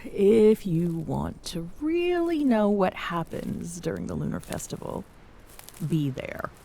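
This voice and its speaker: A woman's voice: lowish voice, very animated, slow, gravelly, warm tone, slight background noise, exaggerated voice. A woman playing a tall Amazonian queen in an intimate ASMR roleplay, smitten and teasing, bossy yet tender, often slipping toward a whisper. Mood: commanding, fond. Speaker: voice actor in audio roleplay.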